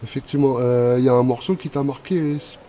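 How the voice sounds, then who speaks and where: A man's voice: medium pitch, steady tone, mellow. Male interviewer, dull sound, street noise. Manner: brief backchannels, halting questions. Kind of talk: casual interview.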